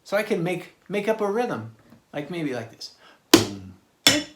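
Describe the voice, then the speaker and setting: Man, slow delivery, casual children's drum instruction, halting demonstration, echoey room, loud percussive clatter. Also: high voice, sing-song. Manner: halting, exploratory, sounding out rhythms with his mouth. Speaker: drummer giving a beginner drum lesson. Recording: roomy echo, loud clattering percussion noise. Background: banging on household objects.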